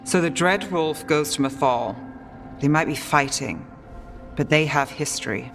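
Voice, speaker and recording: A man playing a skeptical, questioning party leader in fantasy game dialogue, speaking deliberately. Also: on the high side, vocal fry, a bit bright. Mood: reasoning. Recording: long reverb, faint music.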